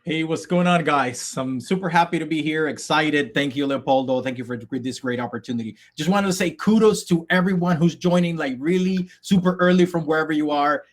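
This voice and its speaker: A man's voice: on the high side, fairly quick, hardly any pauses. A man in his thirties from the Dominican Republic, a native Spanish speaker, teaching a live online coding workshop over a video call, upbeat, with many fillers and restarts. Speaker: workshop instructor.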